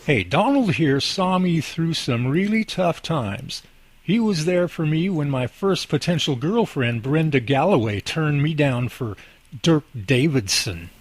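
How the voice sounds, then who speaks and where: Man playing radio-drama husband, goofy, earnest, husky, very animated melody, jokey delivery. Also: fairly high pitch, measured pace, no echo. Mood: sheepish, defensive. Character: goofy, teasing young husband, earnest and preachy.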